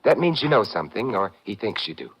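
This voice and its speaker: An actor playing a suave, commanding gentleman detective, brisk, pressing and wry, in 1950s American radio style. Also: on the low side, sing-song, breathy edge, full character voice. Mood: urgent, insistent. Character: suave, commanding gentleman sleuth, urgent and insistent. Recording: muffled vintage radio recording.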